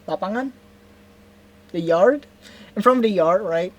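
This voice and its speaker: A woman's voice: mid-range voice, measured pace, darkish tone, muffled recording. A young woman from Indonesia with an Indonesian accent, telling a story in a casual vlog, rambling, stopping to correct herself and often asking "right?". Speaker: vlogger.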